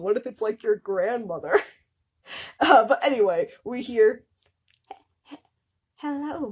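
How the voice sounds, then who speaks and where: A young woman hosting a casual comedic reading of fan fiction, amused and often breaking off with mock-suffering asides in a slightly husky voice. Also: mid-range voice. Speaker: host of a small channel.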